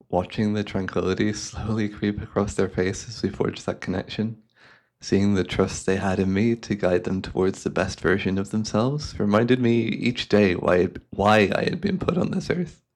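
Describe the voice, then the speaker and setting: A man's voice: fairly low pitch, natural voice. A man playing a courteous, devious hypnotist who speaks in a formal, soothing, theatrical way, as in an ASMR roleplay. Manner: suave, formal, unhurried, theatrical. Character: devious, courteous male hypnotist guiding a client.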